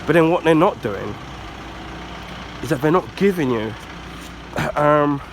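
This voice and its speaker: A man from the UK with a husky, congested voice from the flu, giving a casual rambling rant with many ums and throat clearing. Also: fairly high pitch, animated, unhurried, background noise. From United Kingdom. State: husky, recovering from flu, blocked nose. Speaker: YouTuber.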